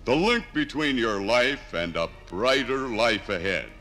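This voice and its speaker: A man playing Iron, a droll, pompous million-year-old piece of talking ore, in a deliberate 1950s radio drama style. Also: medium pitch, expressive, pushed and bright. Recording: 1950s radio recording from LP, music.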